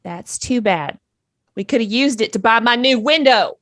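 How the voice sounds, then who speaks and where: A woman's voice: a little high, animated, moderate pace, fairly bright tone, no room sound. A woman playing a blunt, sardonic, unimpressed girlfriend in a comedy table read. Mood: dry, unimpressed. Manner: blunt, dry, dismissive.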